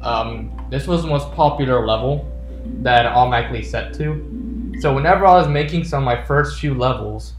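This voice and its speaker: Male gaming host, mid-pitched, playful and off-the-cuff, roomy sound with music underneath. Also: brisk. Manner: playful, jokey, talking to game characters. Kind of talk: casual gameplay commentary. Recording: roomy echo with game music behind. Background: video game music.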